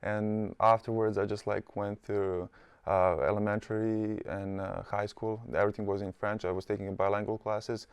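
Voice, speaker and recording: A man's voice: on the low side, flat delivery, normal speed, clean tone, no room sound. A young man from Serbia with a Serbian accent, answering interview questions casually with many fillers in a studio. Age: young adult. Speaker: college basketball player, guest. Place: studio set.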